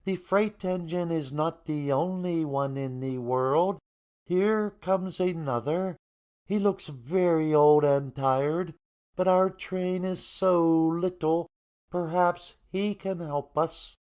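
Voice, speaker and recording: A man's voice: a little high, slow, darkish tone. A man playing a cheerful, hopeful little toy clown in a children's storybook reading, eager and encouraging.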